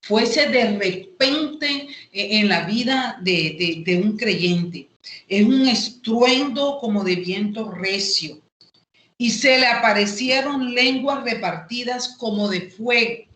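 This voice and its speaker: A woman with a Colombian Caribbean coast accent teaching an online Bible lesson, explaining haltingly and repeating phrases as she goes. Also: on the high side, a bit bright. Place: indoor room, online class. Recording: echoey room, online call audio.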